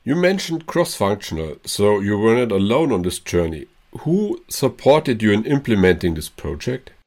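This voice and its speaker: A male interviewer in a company interview, upbeat and quick to praise his guests. His voice sits a little low. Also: sing-song, darkish tone. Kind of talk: corporate interview.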